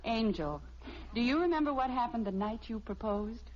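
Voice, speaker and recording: An American actress playing a brisk, self-assured, teasing wife and mother in an old radio family comedy. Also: on the high side, animated, a little mellow, natural voice. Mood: sweetly teasing. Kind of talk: mid-century radio sitcom acting. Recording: muffled, noisy old radio recording.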